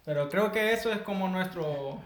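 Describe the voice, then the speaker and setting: A man from El Salvador with a Salvadoran Spanish accent, co-hosting a casual podcast chat, earnest and reflective and quick to laugh. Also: sits high, echoey room. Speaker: podcast co-host.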